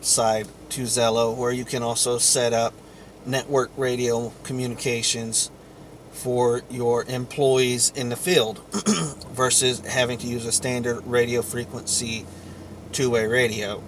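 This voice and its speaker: A man giving an informal explainer monologue to viewers in a halting, deliberate way, with long pauses. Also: mid-range voice, steady tone, a little hiss or hum. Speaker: vlogger.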